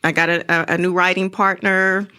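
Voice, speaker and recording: A woman's voice: fairly low pitch, level tone, a little fast, bright, forceful tone, clear, resonant tone. A woman chatting casually as a guest in an interview, recorded in a podcast studio.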